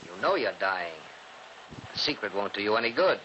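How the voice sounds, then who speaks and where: An actor playing a menacing, possessive brother in a gloomy mansion, intense and theatrical in 1940s radio style. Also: male voice, fairly low pitch, sing-song, moderate pace, highs cut off. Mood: menacing, insistent. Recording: noisy old 1940s radio recording.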